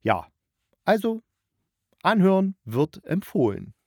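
A man's voice: sits high, big pitch swings, slow pace, no echo, clean studio sound. A man hosting a podcast, giving a chatty review while thinking aloud and correcting himself. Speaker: podcast host and radio drama critic. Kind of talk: chatty, loosely scripted review monologue.

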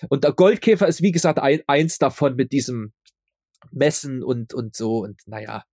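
A man's voice: mid-range voice, moderate pace, darkish tone, no echo, clean studio sound. A man chatting as an interview guest, explaining emphatically and often repeating himself. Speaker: audio drama maker, interview guest. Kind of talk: casual interview chat.

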